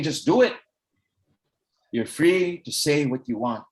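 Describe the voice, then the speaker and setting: A man reciting poetry in a measured, deliberate way. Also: mid-range voice, rough recording. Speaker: poet reciting at an online event.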